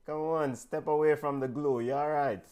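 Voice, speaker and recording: A man hosting a sports talk show, brisk and upbeat, keeping things moving against the clock. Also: a little high, steady tone, darkish tone, clear, resonant tone. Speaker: host.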